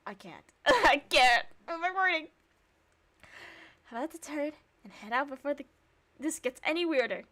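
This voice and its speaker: A woman streamer reading visual novel narration and dialogue aloud, with sarcastic, expressive reactions. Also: high-pitched, moderate pace.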